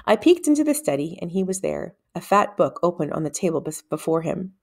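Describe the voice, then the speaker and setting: Woman reading a storybook, lowish voice. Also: very animated, fairly quick, gravelly, a little mellow. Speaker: read-aloud narrator. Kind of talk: expressive storybook read-aloud.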